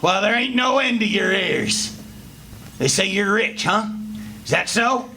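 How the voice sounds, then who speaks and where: A man's voice: high voice, creaky, bright, forceful tone, exaggerated voice. A man acting a mean, drunken, greedy father in a live radio play, taunting and domineering. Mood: sneering. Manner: taunting, domineering, drawn-out sneering. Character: mean, drunken, greedy backwoods father bullying his son. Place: live performance before an audience. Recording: noisy live stage recording.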